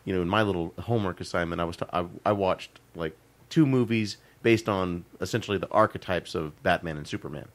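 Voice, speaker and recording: A man's voice: deep voice, fairly quick. A man on a podcast recounting what he watched, peppering his talk with "you know" and chuckles. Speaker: podcast co-host. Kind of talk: casual chat.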